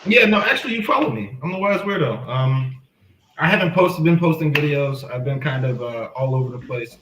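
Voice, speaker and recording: A man's voice: medium pitch, fairly quick. Man in a roomy, echoey space. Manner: reflective, animated when quoting himself. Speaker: host. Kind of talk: casual conversation. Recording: roomy sound with faint background noise.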